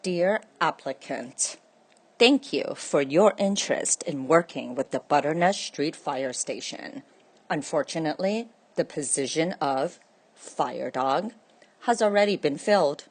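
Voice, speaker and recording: A woman acts out a fire station official in a children's storybook. The manner is polite, formal and businesslike, in a somewhat low voice. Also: lively intonation, a little slow, heavily acted. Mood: formal, dismissive. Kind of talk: children's read-aloud.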